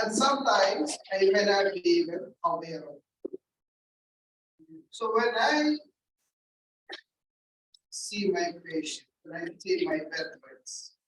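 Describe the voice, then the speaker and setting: A male chaplain with an accent giving a deliberate teaching talk, putting questions to his listeners. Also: high voice. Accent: non-native English accent. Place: large echoey hall. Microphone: distant from the mic. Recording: echoey hall from far off, faint noise.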